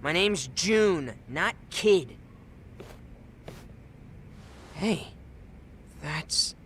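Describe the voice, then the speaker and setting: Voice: mid-range voice, animated, drawn-out words, a bit bright, slight background noise. An actor playing a cheeky but earnest teenage boy in a video game dub, talking in offhand, youthful slang. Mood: cheeky, dismissive.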